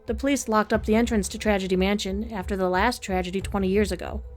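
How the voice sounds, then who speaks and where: A woman's voice: medium pitch, fairly even intonation, fast talker, faint music behind, natural voice. A woman playing an inn receptionist who recounts grisly local lore in a knowing, matter-of-fact way, in a horror audio drama. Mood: ominous, knowing. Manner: storytelling, matter-of-fact about grisly tales. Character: front desk lady, ominous teller of local lore.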